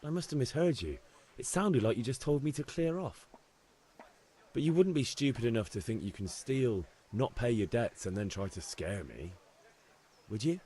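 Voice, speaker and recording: An actor playing a confident, cheeky young blacksmith's son in a video game. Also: male voice, medium pitch, lively intonation, normal speed, mellow, close to an everyday voice. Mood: coolly menacing. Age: young adult.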